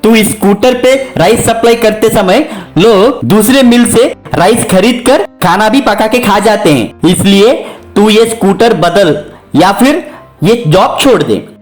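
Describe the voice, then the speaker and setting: A scornful, stern boss man from a comic cartoon tale, played by a storyteller. He talks bluntly and scoldingly at a medium pitch, over music. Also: expressive, unhurried, close to an everyday voice. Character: stern, scornful male rice-mill boss.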